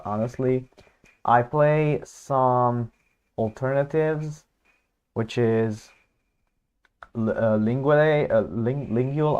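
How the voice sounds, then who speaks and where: A man's voice: on the low side, darkish tone, lo-fi sound. A young man whose first language is Hungarian, chatting casually on a livestream and thinking aloud, hesitant with many fillers and restarts. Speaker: streamer.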